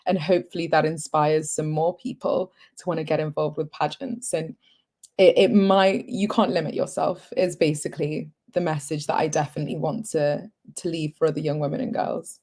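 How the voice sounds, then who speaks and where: A woman's voice: sits low, flat delivery, moderate pace, mellow. A young woman from Zimbabwe, answering interview questions in an earnest, upbeat and articulate way. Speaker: pageant contestant, guest.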